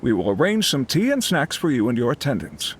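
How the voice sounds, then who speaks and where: A very expressive courteous retainer. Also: male voice, mid-range voice, a little fast, very noisy.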